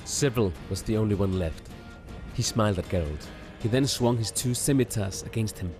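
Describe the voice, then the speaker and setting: Low-pitched male story narrator. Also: moderate pace. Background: background music.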